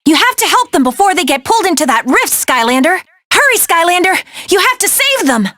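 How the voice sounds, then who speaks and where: A bold young adventurer heroine for a cartoonish video game. Her voice is very high and bright, recorded dry and close. She is bossy and commanding, handing out crisp, urgent directions. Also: sing-song, fairly quick, no echo, full character voice. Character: brave, bossy young female adventurer guiding heroes. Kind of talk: animated game voice acting.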